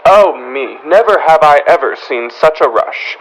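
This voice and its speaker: A man's voice: on the high side, animated, a little slow, a bit bright, rough recording. A man playing a fussy, haughty department store floorwalker, prim and pompous, in an old-time radio comedy. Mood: harried, disdainful.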